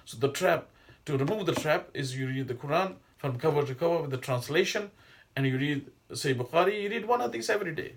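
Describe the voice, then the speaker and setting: A man's voice: fairly high pitch, expressive, a little fast, gravelly. A middle-aged man, whose first language is not Arabic, giving an informal religious lesson in a rambling, digressive way with many hesitations and restarts. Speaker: Islamic teacher.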